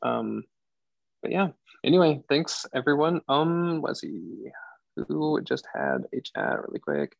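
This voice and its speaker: A soothing, soft-spoken man chatting with his group on a video call, his voice carrying a gravelly fry. The sound is dry and close, with no room around it. He laughs easily and keeps things relaxed. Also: mid-range voice, slow, no room sound, dull audio. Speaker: discussion group leader. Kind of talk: casual online group chat.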